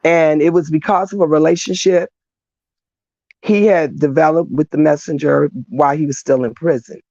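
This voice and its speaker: A woman guest recounting history in an unhurried, conversational manner, with a gravelly edge to her voice. The audio is dull and lo-fi, and there is no room echo. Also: deep voice, steady tone, darkish tone. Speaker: guest speaker.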